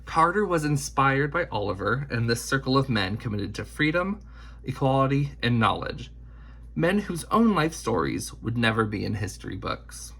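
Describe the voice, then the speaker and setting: A man narrates a children's biography as an expressive read-aloud. His voice is husky, with a gravelly creak at the edges. The intonation swings widely while the phrasing stays measured and deliberate. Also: medium pitch.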